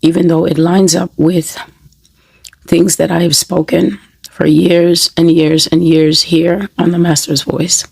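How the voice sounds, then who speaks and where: A woman speaks a sermon with a hoarse, breathy, gravelly voice. She sits a little low and takes her time, building each point through repeated phrases. The sound is dry and close. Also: little pitch movement, darkish tone, dry recording. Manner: slow, measured and repetitive, with emphatic phrasing. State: hoarse, has lost her voice. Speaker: prophetic minister. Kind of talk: spoken sermon to camera. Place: New York City.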